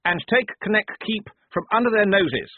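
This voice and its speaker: A proud, earnest young lieutenant, as voiced by a man, lays out his plans in a formal, precise way. The voice sits high and moves with lively swings. It is heard over a thin, phone-like line with no room sound. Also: normal speed, no room sound. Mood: confident. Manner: formal, precise officer's speech. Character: pompous, earnest young male army lieutenant. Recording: tinny phone-like dry recording.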